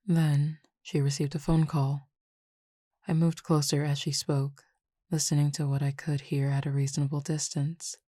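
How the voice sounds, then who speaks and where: A woman playing a calm, teasing Cupid roommate with formal, measured speech in an intimate roleplay audio drama. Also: deep voice, no room sound, studio quality. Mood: solemn, reflective.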